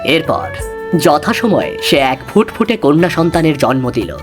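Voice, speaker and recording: Animated fairy-tale narrator over music. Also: medium pitch, a little slow. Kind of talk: dramatic scripted fairy-tale storytelling.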